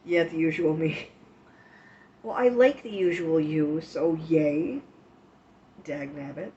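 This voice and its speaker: Low, slow-talking woman with the flu. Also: dark tone, a little hiss or hum. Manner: frank and profane, talks straight to chat. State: sniffly with flu, coughing. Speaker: livestream host. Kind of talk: casual livestream chat about self-care. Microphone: streaming mic. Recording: dull lo-fi stream audio.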